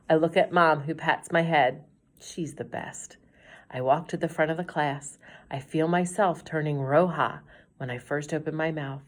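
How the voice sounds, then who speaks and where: A middle-aged woman teacher reading a children's chapter book aloud in a gentle, storytelling manner. Her voice sits a little low, and she drops to a whisper on quiet lines.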